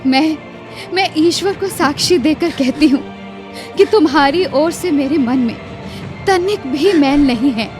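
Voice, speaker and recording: A woman's voice: high-pitched, a little slow, over music. A woman playing a kind-hearted, repentant young wife in a radio drama, imploring urgently and repeating her words. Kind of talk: Hindi radio drama acting.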